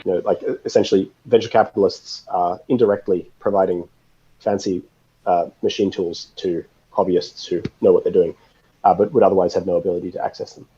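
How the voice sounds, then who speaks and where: A man being interviewed, speaking casually and haltingly as he thinks aloud, with wry, informal asides. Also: sits low, fairly even intonation, mellow, slightly rough, muffled recording. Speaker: interview guest, software systems architect.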